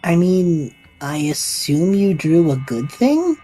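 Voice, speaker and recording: A woman's voice: on the low side, sing-song, slow, dark tone, breathy edge, faint music behind, exaggerated voice. A woman playing a bemused, anxious adventurer friend in an audio drama, incredulous and hesitant. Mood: wry.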